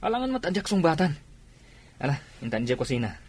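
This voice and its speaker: A man's voice: fairly high pitch, sing-song, quick pace. A man with an Ilocano accent acting as an evasive middle-aged husband in a radio drama. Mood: evasive, offhand.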